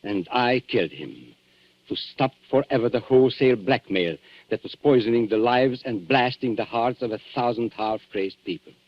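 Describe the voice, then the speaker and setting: An actor playing a suave, coolly calculating antique dealer who kills, smooth, mock-polite and theatrical, in a 1940s radio thriller. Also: male voice, medium pitch, normal speed, lightly acted. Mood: grave, confessional. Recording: dull 1940s radio recording with music.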